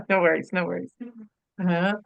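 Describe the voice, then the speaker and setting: A woman's voice: lowish voice, a little slow, gravelly, dry recording. A woman in the audience asking a question in a casual, chatty way.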